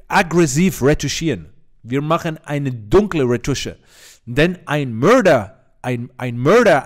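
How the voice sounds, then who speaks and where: A man playing an overconfident photo retoucher who explains in broken German mixed with English. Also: on the high side. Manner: boastful, mock-serious explaining. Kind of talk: parody tutorial.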